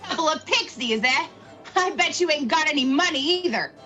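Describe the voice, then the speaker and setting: A woman's voice: sits high, expressive, normal speed, sharp tone, faint music behind. A woman playing a tough, suspicious, hostile bartender in a radio play, brusque and slangy. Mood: sneering.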